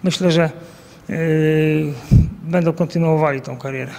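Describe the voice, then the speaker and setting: A man's voice: fairly high pitch, steady tone, measured pace, vocal fry, a little mellow, long reverb tail, slight background noise. A man in his thirties, a coach, answering interview questions outdoors into a handheld mic, with many fillers and restarts. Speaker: national team coach. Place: outdoors by a banner at an event. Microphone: handheld mic held by interviewer.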